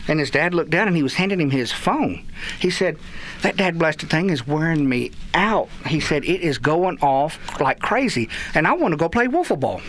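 A man's voice: fairly high pitch, normal speed, slight background noise. A man with a Texan accent spins stories in a loose radio chat, acting out each quote in a slightly raised voice. Faint room ambience sits behind him. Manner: animated storyteller, acts out quotes. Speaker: guest, youth baseball parent. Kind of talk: casual radio chat, storytelling.

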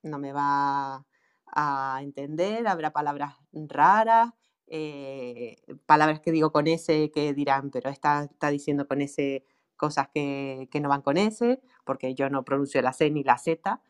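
Low-voiced woman with a Canarian accent. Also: unhurried, clear, resonant tone. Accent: Canarian Spanish. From Canary Islands. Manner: laughs easily, many fillers and restarts. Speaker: guest expert on online marketing for writers. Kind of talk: casual conversational talk.

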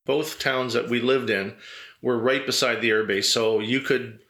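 A middle-aged man who grew up in Germany, relaxed and reminiscing in an interview, telling stories with many fillers and small chuckles. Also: mid-range voice, moderate pace. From grew up in Germany, Canadian family. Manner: easygoing storyteller with fillers and chuckles. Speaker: interview guest.

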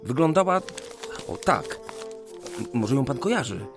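An actor playing a bashful, lovestruck young geometer in a period radio drama, polite and prone to hesitant stammering. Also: male voice, mid-range voice, big pitch swings, measured pace. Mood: eager, polite. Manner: hesitant, stammering when flustered. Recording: music behind the voice.

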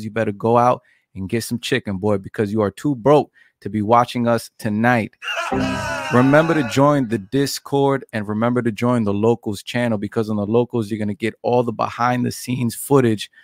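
A man's voice: mid-range voice, dry recording, clean studio sound. A man co-hosting a podcast, delivering a brash, cocky promotional intro. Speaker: podcast co-host. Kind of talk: rapid-fire show intro.

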